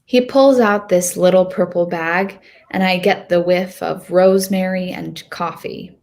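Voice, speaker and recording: A woman reads as a young girl narrating her own storybook adventure. She is hopeful and a little nervous. The voice sits fairly low and moves very slowly, with deliberate expression. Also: fairly even intonation, darkish tone. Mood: narrating, earnest. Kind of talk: first-person storybook narration.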